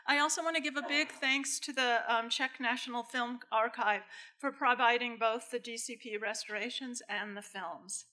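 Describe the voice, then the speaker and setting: A bright, slightly high-voiced woman introduces a guest at a lectern microphone. She talks haltingly, with many pauses and fillers. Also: fairly even intonation. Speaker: film programmer introducing a guest. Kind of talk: formal introduction to an audience. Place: darkened cinema stage at a lectern.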